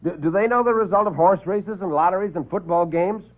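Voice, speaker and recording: A man's voice: high-pitched, fairly quick, pitched above the performer's own voice. An actor playing a brash, slangy newspaperman who narrates with punchy tough-guy delivery in a classic radio drama. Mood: eager. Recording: muffled vintage radio recording with music.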